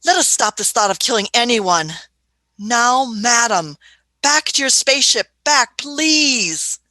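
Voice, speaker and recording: Woman as eccentric impatient doctor, bright voice, lively intonation, dry close sound, radio drama. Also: a little high, slow, no room sound, exaggerated voice. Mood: firm. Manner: fussy, clipped repetitions. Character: brisk, authoritative, kindly but impatient eccentric time-travelling doctor. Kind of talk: radio play acting.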